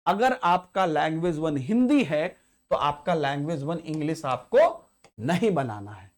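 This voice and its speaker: Man, exam prep tutor, step-by-step explanation, repeats key points. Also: high-pitched. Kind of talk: spoken tutorial walking through an exam paper.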